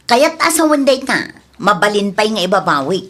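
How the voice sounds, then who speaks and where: A woman's voice: mid-range voice, very animated, normal speed, fairly bright tone. An actress with a Filipino accent playing a stubborn, scheming female landowner in an Ilocano radio drama. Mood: insistent, hard-nosed. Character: scheming money-minded female cousin and landowner, stubborn daughter.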